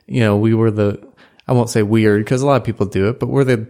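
A man's voice: fairly low pitch, fairly even intonation, fast, dark tone. Easygoing man chatting casually. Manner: easygoing, agreeable, often says yeah. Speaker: podcast co-host. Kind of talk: casual chat.

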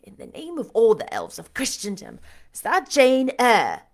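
An imperious storybook gentleman, acted out by a woman reader, irritable and blustering. He gives orders and questions with emphatic, commanding force. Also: medium pitch, a little slow. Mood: angry, startled. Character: imperious, irascible gentleman roused from sleep.

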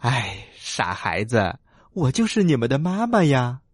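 Storybook mother frog, done by a man: low, husky, tender, patient, reassuring. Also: sing-song, no echo, clean studio sound. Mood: tender, amused. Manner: tender, slow, explaining. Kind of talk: children's storybook character voice.

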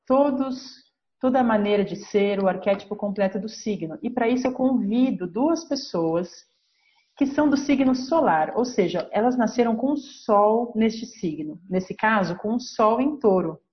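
A woman's voice: mid-range voice, lively intonation, normal speed, muffled recording. A woman with a Brazilian Portuguese accent, an astrologer hosting a podcast, explaining her subject step by step in a teaching manner. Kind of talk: podcast lesson.

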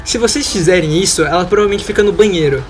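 A man's voice: high voice, fast talker, soft background music. A young man with a Brazilian accent chatting casually on a podcast in a rambling, slangy way. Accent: Brazilian Portuguese. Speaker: podcast panelist. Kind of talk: casual chat.

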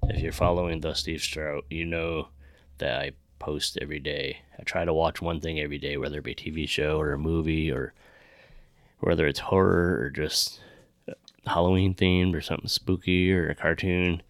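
A man's voice: a bass voice, level tone, normal speed, dry recording. A man hosting a podcast in casual, informal chat, swearing freely. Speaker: podcast host.

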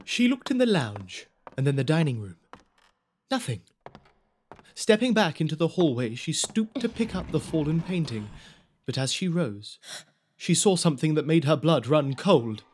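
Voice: mid-range voice, big pitch swings, moderate pace, darkish tone, a little hiss or hum. A narrator telling a spooky audio drama story in scripted storytelling style. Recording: music behind the voice.

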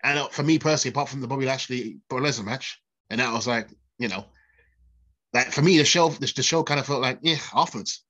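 A man's voice: mid-range voice, fast, bright, forceful tone. A man co-hosting a casual podcast while snotty with a cold, chatty and laughing often. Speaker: podcast co-host.

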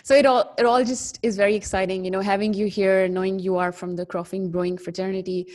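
A woman hosting a podcast, reading a measured scripted intro before moving into upbeat interview questions. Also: medium pitch, little pitch movement, a little mellow. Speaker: podcast host.

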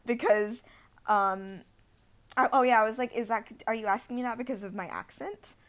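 A young woman from India co-hosting a podcast, telling a story in a casual, animated way with lots of "like" fillers. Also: fairly high pitch, moderate pace. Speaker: podcast co-host. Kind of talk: casual chat.

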